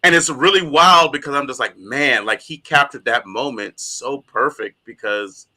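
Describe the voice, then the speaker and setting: A man co-hosting a casual podcast, talking in an animated way with frequent laughs and plenty of fillers. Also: mid-range voice, normal speed. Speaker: podcast co-host. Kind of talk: casual chat.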